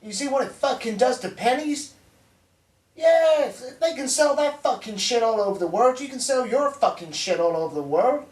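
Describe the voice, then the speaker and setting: A man playing a profane, bullying spoiled son of a crime boss, in a dark comic drama. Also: very high voice, lively intonation, unhurried, pauses often. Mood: angry, taunting. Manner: profane, aggressive, ranting. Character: foul-mouthed bullying spoiled heir of a crime boss father. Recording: roomy echo, distant microphone.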